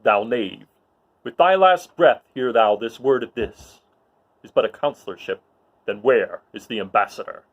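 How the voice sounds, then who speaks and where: A man voicing a menacing, brooding dark lord, declaiming Shakespearean verse in a commanding manner. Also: mid-range voice, moderate pace, lo-fi sound, lightly acted. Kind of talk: dramatic reading of mock-Shakespearean verse.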